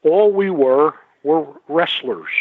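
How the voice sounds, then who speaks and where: A man's voice: on the high side, lively intonation, takes it slowly, no echo. A man, a guest on a podcast panel, talks emphatically and repeats his points. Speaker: guest, former wrestler. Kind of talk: casual podcast panel chat. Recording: tinny phone-like remote line.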